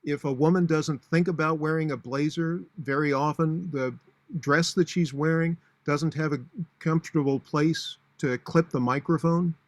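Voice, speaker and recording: A man in his sixties with a booming voice, giving practical, matter-of-fact advice on public speaking with frequent fillers. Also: steady tone, normal speed, no echo. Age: 60s. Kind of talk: instructional talk.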